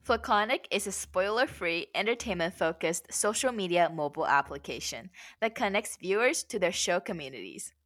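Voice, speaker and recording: A woman's voice: on the low side, expressive, moderate pace, hardly any pauses, a bit bright. A woman co-host reading a scripted podcast intro in an upbeat, announcer-like way.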